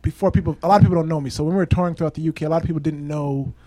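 Soft-spoken fast-talking male musician. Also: fairly high pitch, fairly even intonation, no echo. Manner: soft-spoken, hesitant, many restarts. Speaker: musician guest. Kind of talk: casual interview.

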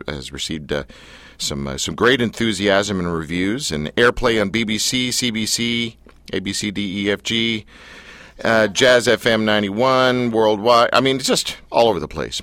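A man's voice: deep voice, no room sound. A man hosting a radio show in a studio, joking and teasing his guest in a playful, loose manner. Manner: playful, teasing, wide swings in loudness. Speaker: radio host. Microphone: studio mic.

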